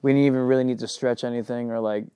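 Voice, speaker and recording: Young rapper talking loosely, smooth voice. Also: male voice, medium pitch, fairly even intonation, very fast, dark tone, no room sound. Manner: loose and rambling, heavy on "like". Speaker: rapper and singer. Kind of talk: casual interview.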